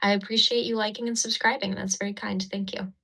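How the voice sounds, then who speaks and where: Bright chatty woman doing makeup on stream. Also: medium pitch, flat delivery, quick pace, breathy edge, rough recording. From Ukraine. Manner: chatty, laughing, cursing, talks while doing makeup. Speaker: livestream host. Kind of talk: casual livestream chat. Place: indoors at a mirror. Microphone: phone.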